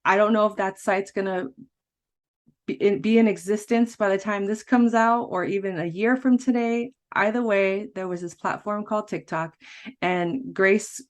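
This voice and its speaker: A woman's voice: medium pitch, fairly even intonation, normal speed. A woman hosting a podcast interview, talking casually and rambling a little, with restarts and frequent laughter. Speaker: podcast host.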